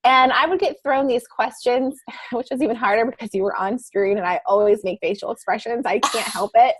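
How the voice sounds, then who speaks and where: A woman's voice: fairly high pitch, fairly quick, breathy edge, poor audio. A woman guest speaking casually on a video call, laughing often and putting herself down. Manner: laughing, self-deprecating.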